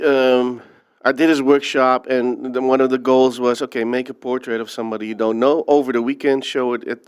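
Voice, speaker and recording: A man from the Netherlands speaks as an interview guest, reflective and earnest as he tells his story. His voice is smooth and resonant, sitting at an ordinary middle pitch for a man. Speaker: filmmaker guest. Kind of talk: interview conversation.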